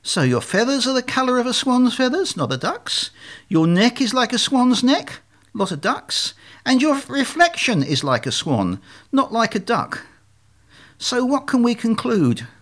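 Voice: medium pitch, very animated, unhurried, fairly bright tone, pitched above the performer's own voice. A performer voicing a male swan leader in a storybook, explaining things emphatically with well-meaning but strained patience. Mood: exasperated.

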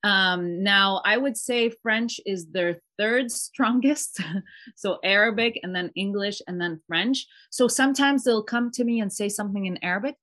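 An earnest, chatty woman in her thirties speaks as an interview guest, telling about her days at home with her children. Her pitch sits in the middle for a woman, and she talks in a relaxed, conversational way. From Palestinian family, raised in Canada. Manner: earnest, chatty, sprinkles Arabic phrases. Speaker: guest, homeschooling mother. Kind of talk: casual interview chat.

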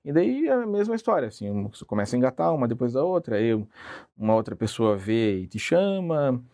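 Soft-spoken man, lively pitch. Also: fairly quick. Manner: hesitant, self-deprecating, full of restarts. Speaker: translator, interview guest. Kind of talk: relaxed conversational storytelling.

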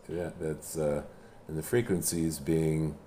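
A man in casual conversation about music, speaking haltingly with many restarts and a self-deprecating manner. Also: a bass voice, dark tone, slight background noise. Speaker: musician guest. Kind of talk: casual chat.

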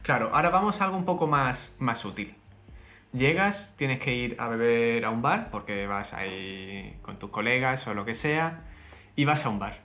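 Laid-back guy hosting, lots of ehs, easygoing chat. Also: medium pitch. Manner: relaxed, chatty, drawn-out fillers. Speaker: host. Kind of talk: casual chat.

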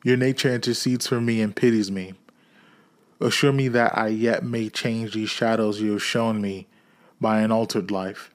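A man voicing an overjoyed old miser who exclaims in a raised, excited voice, switching between pleading and giddy delight. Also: lowish voice, normal speed, lightly acted.

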